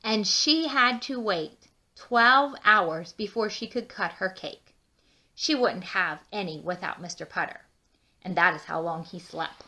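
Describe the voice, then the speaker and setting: A woman reading a children's storybook aloud with expressive, drawn-out emphasis and chatty asides. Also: medium pitch. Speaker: children's book read-aloud narrator.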